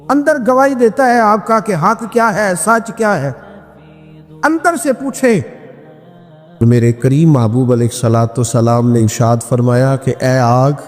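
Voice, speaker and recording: Slow-paced male preacher in a reverberant hall. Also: fairly high pitch, darkish tone. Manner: rhetorical questions and emphatic repetition. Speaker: Islamic preacher. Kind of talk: impassioned sermon with recited verse and narrated religious stories. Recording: long hall reverb with music behind.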